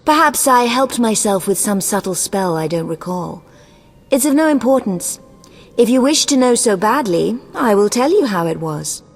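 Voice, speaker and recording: A woman playing a haughty, scheming sorceress in a fantasy video game, speaking in a cool, measured, imperious way. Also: medium pitch, sing-song, faint music behind. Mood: cool, evasive. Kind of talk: video game dialogue acting. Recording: long echoing hall reverb.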